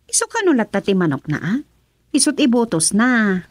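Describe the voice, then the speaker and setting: A woman playing Rosa, a sharp-tongued worried wife. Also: a little high, sing-song, moderate pace, dry recording. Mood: nagging. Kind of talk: radio drama acting.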